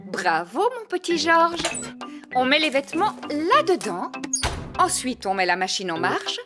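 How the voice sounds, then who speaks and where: Cartoon mother pig: kind, encouraging, high and very melodic, light music behind. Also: big pitch swings, unhurried. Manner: gentle, encouraging, explaining to small children.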